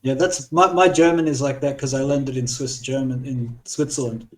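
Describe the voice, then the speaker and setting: A man speaking English as his first language in casual group chat, blunt and self-mocking, on a low-quality backup recording. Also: steady tone, normal speed, distant mic. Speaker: chat participant.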